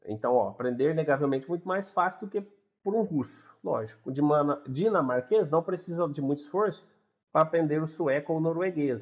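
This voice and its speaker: A man from Brazil with a Brazilian Portuguese accent, explaining a lesson in a casual, chatty way and checking in with listeners after nearly every sentence. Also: fairly high pitch. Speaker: English teacher. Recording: dull lo-fi recording.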